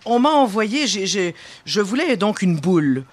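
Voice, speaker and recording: A woman's voice: medium pitch, sing-song, unhurried. A woman with a Quebec French accent delivering a comic column in a theatrical, mock-grand, emphatic manner. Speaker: comic columnist on a radio show. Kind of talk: comic scripted monologue.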